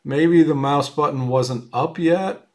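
Casual man talking through a software demo. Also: a little high, slow. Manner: casual, frequent ums and uhs, thinking aloud while demonstrating. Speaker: woodworking software instructor. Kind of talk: screen-recorded software tutorial. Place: at a computer, voice-over on screen capture.

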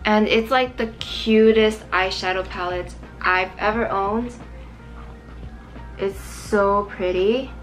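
A young woman in her twenties chatting to camera while doing her makeup, her voice a little strained and hoarse from overuse. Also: medium pitch, takes it slowly, faint music behind. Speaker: vlogger. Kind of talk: casual makeup chat to camera. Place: small bright room indoors.

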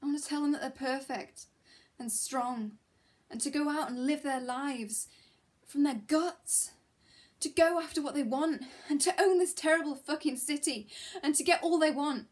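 A woman acting a dramatic monologue as a miserable, disillusioned schoolteacher, confiding and emotionally intense, with halting delivery. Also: high voice, fairly even intonation, close to an everyday voice. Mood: impassioned, defiant. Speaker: actor performing a monologue.

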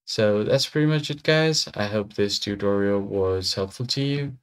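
A man with a fairly low voice presents a how-to tutorial. He talks casually and walks the listener through software instructions one step at a time. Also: normal speed, clean tone. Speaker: tutorial host.

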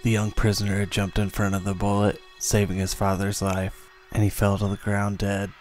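A man playing a weary, bitter survivor who narrates a post-apocalyptic radio play in a grim, deliberate way. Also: fairly low pitch, monotone, a little mellow, faint music behind, natural voice, rough recording.

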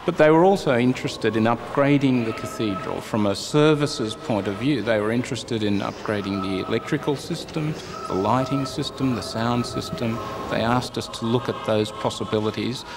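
A man's voice: medium pitch, normal speed, vocal fry, hardly any pauses, background noise. A middle-aged man, giving an account in an interview as an official. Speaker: public works official.

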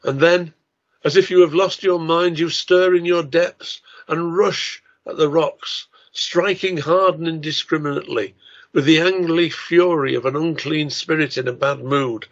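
A man's voice: a little high, steady tone, measured pace, a bit bright. Older man, formal delivery, dull lo-fi sound. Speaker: writer and lecturer. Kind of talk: scripted talk and poetry recital.

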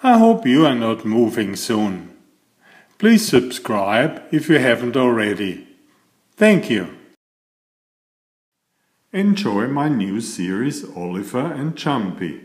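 An older man reading aloud as a children's storyteller, with slow, deliberate, expressive phrasing. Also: mid-range voice, roomy sound. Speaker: children's book reader and host.

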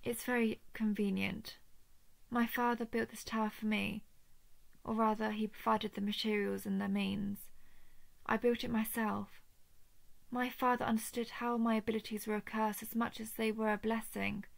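Female actor for a tender, remorseful dragon lover in audio roleplay: hushed, even intonation, close dry sound. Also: fairly high pitch, moderate pace, no echo. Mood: pleading, confessional. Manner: soft, hesitant, often dropping into breathy whispers. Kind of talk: intimate roleplay audio drama.

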